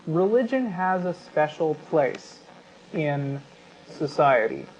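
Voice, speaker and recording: A man co-hosting a talk show, giving unscripted commentary in a halting, self-correcting, argumentative way. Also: on the high side, sing-song, a little mellow. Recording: dull sound with clear background noise.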